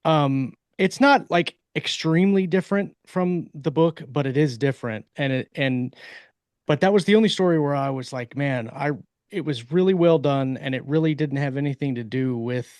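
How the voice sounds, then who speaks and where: A man's voice: on the high side, normal speed, darkish tone, no echo, clean studio sound. A man co-hosting a casual chat, rambling and circling back over his phrases. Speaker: podcast co-host.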